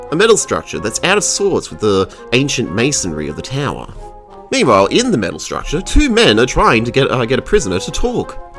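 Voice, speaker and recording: Aussie guy narrating a review, super lively up and down voice, cheeky and crude, bit of music behind. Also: mid-range voice. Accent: Australian. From Australia. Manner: irreverent, crude humour. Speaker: video reviewer.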